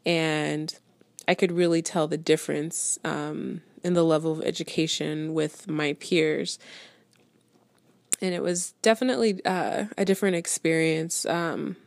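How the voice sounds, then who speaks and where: Low, creaky-voiced woman reflecting. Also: steady tone, a little slow, no echo. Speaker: interviewee. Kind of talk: reflective personal anecdote in interview.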